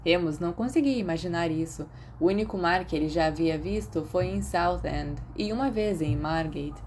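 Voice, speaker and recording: Woman, Brazilian Portuguese accent, audiobook narration, lowish pitch, very mellow timbre. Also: normal speed, a little hiss or hum. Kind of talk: scripted fan fiction narration.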